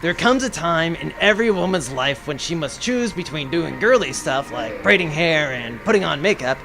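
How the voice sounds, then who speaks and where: A man plays a brash adventurer in an audio drama, high-pitched and sharp. The delivery is bossy, gung-ho and declamatory, with a gravelly crackle. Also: lively intonation, moderate pace, faint music behind, exaggerated voice.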